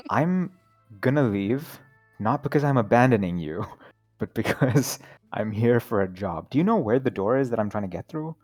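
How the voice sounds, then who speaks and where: A man's voice: medium pitch, animated, unhurried, darkish tone, soft background music. A man playing in a casual online tabletop game, talking in a laid-back, chatty way and laughing often. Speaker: player.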